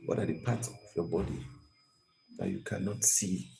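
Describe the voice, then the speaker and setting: A man's voice: low voice, fairly even intonation, normal speed, vocal fry, warm tone, slight background noise. A man hosting an interactive live lesson, calling on viewers by name and reading their comments. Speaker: live stream host.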